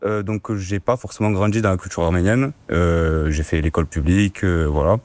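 A twenty-year-old man answers interview questions with a very deep voice. He speaks earnestly and keeps filling pauses with hesitations. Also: steady tone, a little mellow, no room sound. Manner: many fillers, earnest. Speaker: student guest. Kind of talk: casual interview.

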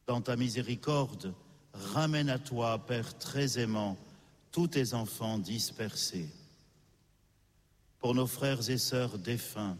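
A man, the presiding priest, slowly and solemnly intoning a Eucharistic prayer at Mass. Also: mid-range voice. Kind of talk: liturgical prayer. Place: church. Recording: long church reverb.

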